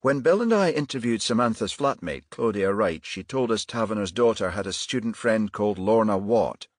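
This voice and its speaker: A man voicing a brisk, irritable detective in charge, clipped and commanding, in a crime audiobook. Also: fairly low pitch, expressive, no room sound, clean studio sound, pitched above the performer's own voice. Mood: purposeful.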